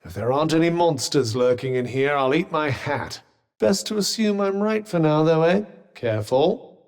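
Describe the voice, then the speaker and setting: Dry-witted talking bracelet, teasing game quips, lingering reverb. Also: male voice, a little high, lively intonation, moderate pace. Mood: sardonic. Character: sardonic, witty talking magical bracelet, male. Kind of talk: video game voice lines.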